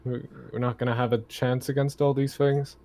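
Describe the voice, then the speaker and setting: A man's voice: mid-range voice, little pitch movement, fairly quick, mellow. A man playing a tabletop game, talking casually in a hesitant, tentative way.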